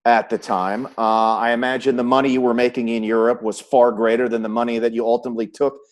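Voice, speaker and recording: Male sports host, average pitch, conversational interviewer delivery. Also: flat delivery, fast talker.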